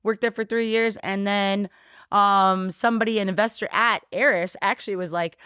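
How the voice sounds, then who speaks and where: A woman whose first language is Polish, chatting as a guest on a bar podcast and telling animated stories with acted-out quotes. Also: medium pitch, moderate pace, clean tone, dry recording, clean studio sound. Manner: animated storyteller, acts out quotes, swears casually. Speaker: guest, brewery co-owner. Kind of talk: casual bar podcast chat.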